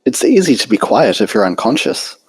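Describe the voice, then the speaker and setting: A man's voice: on the low side, big pitch swings, brisk, slightly rough, no echo, pitched above the performer's own voice, exaggerated voice, rough recording. A man voicing an anxious, earnest young female pony spectator in a story reading. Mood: worried.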